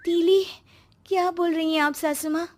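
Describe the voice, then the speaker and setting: A woman voicing a sweet, dutiful young daughter-in-law with a pleading, eager manner in an animated story. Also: very high voice, moderate pace, soft background music, voice pushed up. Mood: anxious. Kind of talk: animated Hindi moral story acting.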